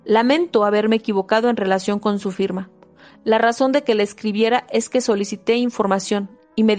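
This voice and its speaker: A woman giving a steady, measured reading of a self-help book, with a few small slips and restarts. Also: a little high. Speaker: audiobook reader.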